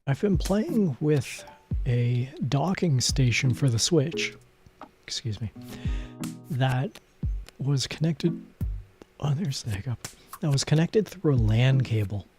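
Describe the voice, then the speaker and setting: A man streaming a card game, chatting casually in a soft, rambling, self-deprecating way with asides to the game. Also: medium pitch, animated, takes it slowly, faint music behind. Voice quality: soft, not gravelly at the moment. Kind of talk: casual live-stream chat while playing.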